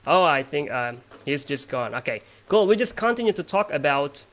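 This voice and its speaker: A man in his twenties from Indonesia, hosting English speaking practice in a relaxed tone with lots of fillers. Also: fairly high pitch, normal speed. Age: 20s. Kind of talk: casual conversation practice.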